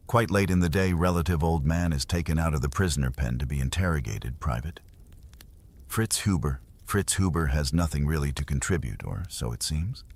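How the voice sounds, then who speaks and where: A man narrating history in an unhurried, conversational way, as if explaining to a listener. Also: rumbling low, a little mellow, husky. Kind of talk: documentary history storytelling.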